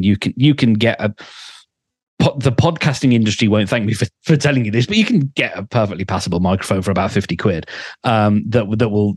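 A man's voice: lowish voice, darkish tone, dry recording, studio quality. A man, a podcast mentor, explaining thoughtfully with many fillers. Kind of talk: advice in a podcast conversation.

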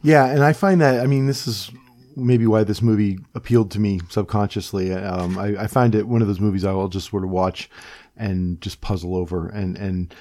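Low, mellow male podcast host. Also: fairly even intonation, moderate pace. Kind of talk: casual discussion.

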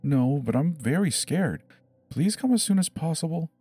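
A man's voice: a little high, expressive, moderate pace, mellow, slightly rough, no room sound, soft background music, pitched above the performer's own voice. A man voicing a scared seven-year-old boy in a dramatized horror story, hesitant and pleading, stammering over his words. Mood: frightened, pleading.

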